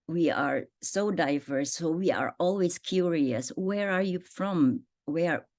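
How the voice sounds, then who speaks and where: A woman with an Indonesian accent teaches a spoken language lesson in a low voice. She talks slowly and deliberately, repeating phrases and their meanings. The sound is dry and close, with no room around it. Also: a little mellow, dry recording. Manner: explains slowly, repeats phrases and their translations, many fillers.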